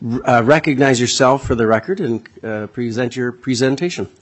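A man chairing a legislative committee hearing, halting speech full of fillers. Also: medium pitch, slight background noise. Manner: halting, many "uh" fillers, folksy.

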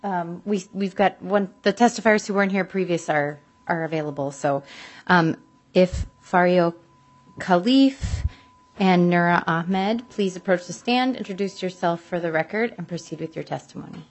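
Woman, committee chair. Slightly low register, polite and procedural delivery. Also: moderate pace. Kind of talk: formal meeting procedure.

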